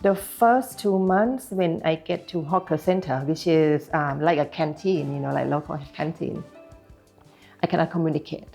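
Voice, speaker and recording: A woman with a Thai accent, speaking haltingly and searching for words. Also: deep voice, creaky, a little mellow, soft background music. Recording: dull lo-fi recording.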